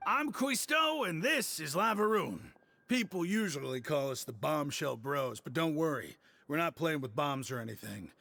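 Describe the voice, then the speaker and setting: Gravelly, cocky gossip. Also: male voice, on the high side, expressive, moderate pace, bright, forceful tone, heavily acted. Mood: smug. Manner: boastful, grudging, conspiratorial. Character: burly cocky self-important male prison gossip. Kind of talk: video game voice acting.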